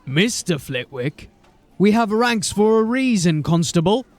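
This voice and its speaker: High-pitched, stern watch sergeant. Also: male voice, animated, unhurried, no room sound. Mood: impatient, stern. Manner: curt, commanding. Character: stern, hard-bitten male police sergeant in a fantasy city. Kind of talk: dramatic audio drama acting.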